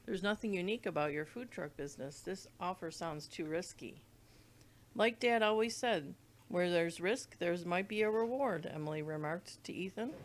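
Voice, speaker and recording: Low-pitched woman reading a storybook aloud. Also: expressive, moderate pace. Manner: stumbles over words and rereads them. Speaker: read-along reader. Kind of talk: reading a children's book aloud.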